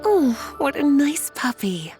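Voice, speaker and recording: A woman's voice: high-pitched, sing-song, over music, heavily acted. A woman voicing a languid, teasing young sorceress in a video game, coy and playful in her delivery. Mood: cooing, playful. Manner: coy, drawn-out, playful endearments.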